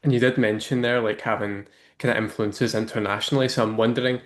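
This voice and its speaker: A man's voice: lowish voice, fairly even intonation, quick pace. A male interviewer who opens with a scripted introduction and then asks casual questions peppered with likes. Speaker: interviewer and host.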